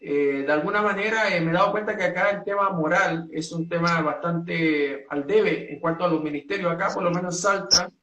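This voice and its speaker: A man from Chile, a pastor, setting out his church's principles in an earnest, measured way with many hesitations. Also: sits high, sharp tone, echoey room, recorded from a distance, a little hiss or hum, poor audio. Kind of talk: doctrinal statement in a two-way talk.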